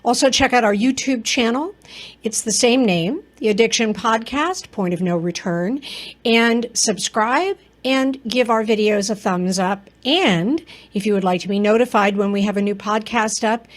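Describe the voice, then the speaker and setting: A woman hosts a podcast at an ordinary mid pitch. She reads the intro and announcements in an upbeat, friendly way, then turns to her guest with questions. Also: measured pace. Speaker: podcast host.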